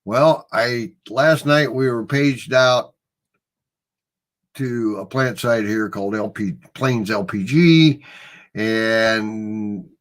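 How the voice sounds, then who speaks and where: Middle-aged male host, Midwestern accent, lower register, loose and chatty. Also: slow. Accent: Midwestern American. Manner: easygoing, rambling storyteller, chuckles often. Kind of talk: casual livestream chat.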